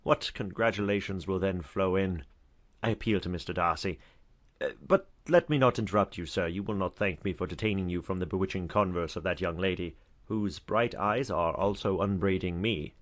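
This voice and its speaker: A man playing an effusive, courtly older Regency knight with a flattering, pompous manner in a dramatized audiobook. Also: sits low, normal speed, close to an everyday voice.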